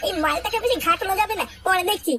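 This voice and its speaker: A man voicing an envious, excitable, broke young layabout in a slangy comedy cartoon, with a West Bengal Bengali accent and a pitched-up voice. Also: racing. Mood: envious amazement. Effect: chipmunk-like pitched-up voice. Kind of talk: comic cartoon voice acting.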